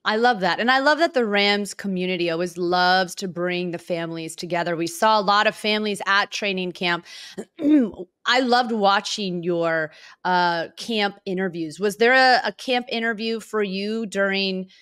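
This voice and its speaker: A woman's voice: medium pitch, normal speed, a bit bright, clear, resonant tone, dry recording. Chatty woman hosting, close dry sound. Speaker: sports show host interviewing a guest. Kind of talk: casual sports interview chat.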